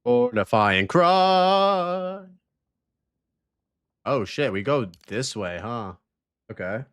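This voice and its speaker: A man in his thirties chats with his live-stream viewers as he plays, loose and slangy with plenty of swearing. His pitch sits mid-range but swings widely as he gets excited. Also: extremely slow, clear, resonant tone, no room sound, studio quality. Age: 30s. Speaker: game streamer. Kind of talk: casual live-stream chat while gaming.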